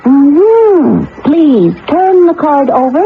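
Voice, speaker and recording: High-voiced, haughty talking computer. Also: female voice, sing-song, extremely slow, heavily acted. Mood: officious. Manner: imperious, formal, precise. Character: proud, touchy female talking computer with a mind. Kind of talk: 1980 radio drama acting. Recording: lo-fi old radio broadcast, faint noise.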